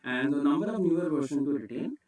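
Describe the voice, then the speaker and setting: Distant-miked Indian-accented man teaching software. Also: fairly high pitch, fast talker. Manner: instructional, repeats "okay" as a check. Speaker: tutorial presenter. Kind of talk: step-by-step software screencast tutorial.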